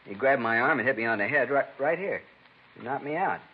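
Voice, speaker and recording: An American man playing a rattled, incredulous shopkeeper and robbery victim in a 1950s radio crime drama, talkative, emphatic and halting. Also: medium pitch, lively intonation, slightly rough. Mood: shaken, incredulous. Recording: dull, noisy vintage radio recording.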